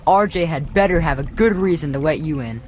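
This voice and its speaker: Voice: mid-range voice, fairly quick, darkish tone, natural voice. An actor playing a grumpy kid cook in a video game, blunt, sarcastic and complaining. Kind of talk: video game character dialogue.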